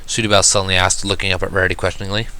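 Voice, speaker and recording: A man's voice: low-pitched, level tone, racing, pushed and bright. A man reading a story aloud as a first-person narrator. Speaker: fan fiction reader.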